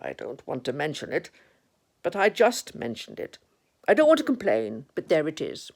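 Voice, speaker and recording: A woman's voice: sits low, wildly swooping pitch, darkish tone, full character voice. A woman voicing a gloomy old male donkey who grumbles and complains mournfully in a children's storybook. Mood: gloomy, grumbling. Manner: slow, mournful, complaining, muttering.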